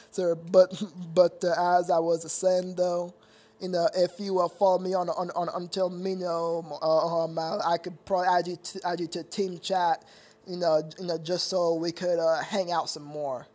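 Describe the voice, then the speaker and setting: A man's voice: high voice, level tone, moderate pace, darkish tone. A young man chatting casually with viewers on his live stream, rambling and stammering with frequent laughter.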